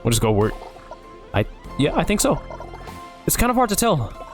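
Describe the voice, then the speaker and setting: A man's voice: a little high, huge pitch swings, normal speed, faint music behind. A man casually playing through a dating game on his channel, joking and swearing as he reads. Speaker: gaming video creator.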